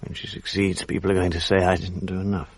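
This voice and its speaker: An actor playing a guilt-ridden, self-loathing English husband in a radio drama, educated and apologetic, with a gravelly voice. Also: male voice, low-pitched, flat delivery, fast talker, no echo. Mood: subdued, apologetic. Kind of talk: radio drama acting, dialogue and first-person narration. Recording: 1980s radio drama with faint music.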